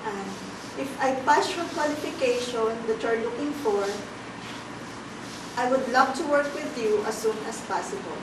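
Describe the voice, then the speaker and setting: A woman's voice: fairly high pitch, animated, moderate pace. A woman in her twenties answering as a job applicant in a formal, rehearsed, polite manner. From Philippines. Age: 20s. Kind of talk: mock job interview. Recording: echoey room, distant mic, background noise.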